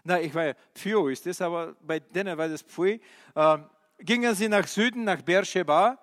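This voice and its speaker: A male preacher, a non-native German speaker, giving an animated sermon with many questions to his listeners and hesitant fillers. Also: high-pitched. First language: not German. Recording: reverberant hall.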